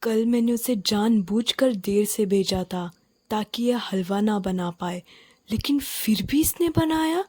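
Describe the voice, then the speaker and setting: A woman voicing a scheming, bossy rich mistress in a children's cartoon, sharp, haughty and scornful in manner. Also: medium pitch, slow, no echo. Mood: sly, gloating. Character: scheming, bossy wealthy mistress scolding her maid. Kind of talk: Hindi children's cartoon story acting.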